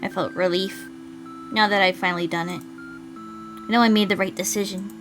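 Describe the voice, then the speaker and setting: A woman's voice: mid-range voice, steady tone, normal speed, music in the background, lightly acted, rough recording. A woman reading as a brooding, dependent young man narrating a visual novel, introspective and earnest. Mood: resolved, introspective.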